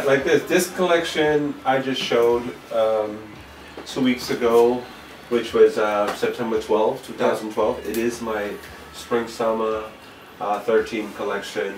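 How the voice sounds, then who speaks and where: A middle-aged man from Puerto Rico, raised in New York City, talking casually and a little haltingly as a fashion designer being interviewed. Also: on the low side, fairly even intonation, far from the mic, slight background noise. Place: designer's indoor studio with sewing machines. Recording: echoey room, off-mic, music behind.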